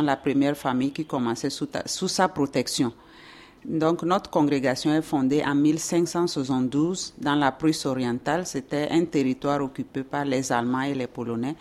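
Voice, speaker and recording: A woman in her fifties from Togo, answering as an interview guest in a measured, explanatory way with short halting phrases. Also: sits low, a little mellow. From Togo, born in Ghana.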